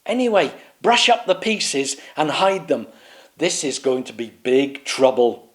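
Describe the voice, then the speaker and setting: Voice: medium pitch, sing-song, measured pace. The storyteller voicing Sir Swaggeralot, a pompous, self-assured knight who explains everything with bluster in a raised voice. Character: pompous know-it-all knight, man.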